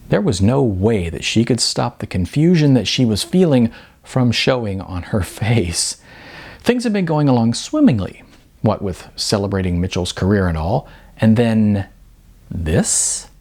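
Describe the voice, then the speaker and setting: A man narrates his own fiction in a conversational audiobook style. His middle-pitched voice has a husky grain, and his intonation moves up and down expressively. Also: a little mellow. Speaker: author reading own novel.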